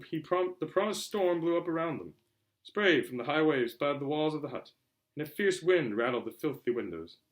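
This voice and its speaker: A man reading a storybook aloud as a narrator, in an expressive, theatrical style. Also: medium pitch. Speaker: audiobook reader.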